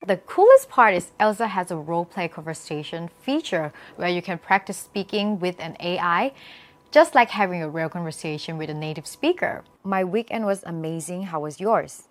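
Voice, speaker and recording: A woman's voice: lowish voice, sing-song, moderate pace. A young adult woman from Thailand, a native Thai speaker, explaining things emphatically to camera as a vlogger. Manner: emphatic, repeats key points. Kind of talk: talking to camera, explanatory vlog with sponsor pitch.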